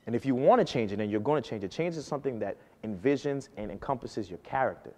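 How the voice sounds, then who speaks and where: A man's voice: medium pitch, big-room sound. A man in his early twenties giving a personal storytelling speech to an audience, earnest and emphatic, rising and falling for effect. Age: early 20s. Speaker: speaker giving a talk to an audience.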